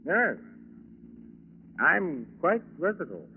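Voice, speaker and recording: An actor playing a polite, cold-blooded American fugitive killer in a 1940s radio drama. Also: male voice, a little high, very animated, takes it slowly, husky, full character voice. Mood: coolly menacing. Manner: polite, self-possessed, menacing. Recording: thin phone-like 1940s radio recording, music behind.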